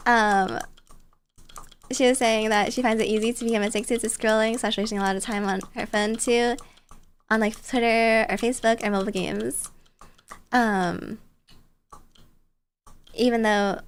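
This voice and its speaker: A woman streamer telling stories casually in a bubbly, playful, cutesy way. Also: on the high side. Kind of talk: casual storytelling chat on a livestream.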